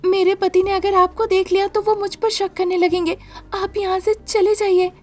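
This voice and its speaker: A woman's voice: extremely high pitch, level tone, normal speed, a little mellow, pitched above the performer's own voice. A woman voicing a frightened, polite young wife who pleads plaintively in a dramatised story, with music behind her. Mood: anxious, apologetic. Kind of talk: dramatised Hindi audio story.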